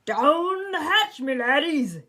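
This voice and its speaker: A woman reading a storybook and voicing a hearty male pirate captain who bellows in drawn-out pirate talk. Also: high voice, lively intonation, bright, forceful tone, slight background noise, pitched above the performer's own voice, heavily acted. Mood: roaring, commanding.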